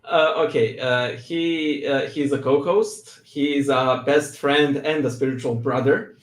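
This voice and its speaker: A man's voice: medium pitch, steady tone, slow pace. A young Serbian man with a Serbian accent talks casually about himself, laughing often and poking fun at himself between many fillers. His voice sits mid-range for a man. The video call sound is noticeably roomy and echoey. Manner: laughs often, self-deprecating humor, hesitant fillers. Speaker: guest, church reader and YouTube creator. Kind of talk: casual interview.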